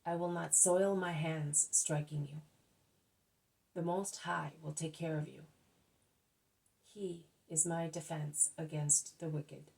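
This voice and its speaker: A woman with a gravelly voice reading aloud, voicing Jesus as a gentle, calm male teacher who speaks softly and quietly. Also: low-pitched, slow pace, pauses often, recorded from a distance, full character voice, rough recording. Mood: firm. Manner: soft, hushed and calm.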